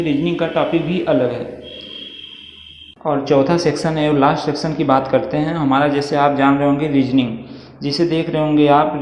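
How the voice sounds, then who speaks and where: A man's voice: on the high side, darkish tone. A man teaches in an informal, reassuring way, checking in often. The sound is very echoey and a little dull, with faint noise behind him. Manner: reassuring, repetitive check-in phrases. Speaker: exam coaching YouTuber. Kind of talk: informal explanatory lesson, reading through a syllabus. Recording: echoey reverberant room, muffled, faint noise.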